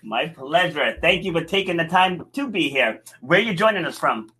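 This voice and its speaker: A man's voice: on the high side, lively intonation, moderate pace, sharp tone, slightly rough. A man hosting a podcast interview, asking questions and dropping in short affirmations between them. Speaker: podcast host.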